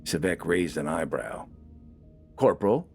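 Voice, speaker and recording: A man's voice: very deep voice, normal speed, a little mellow, faint music behind. A man reading scripted fiction as an audiobook narrator.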